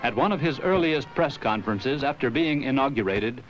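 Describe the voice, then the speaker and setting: An American man narrating a 1960s promotional film in a measured, solemn, emphatic way. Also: mid-range voice, expressive. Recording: dull vintage film soundtrack. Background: music.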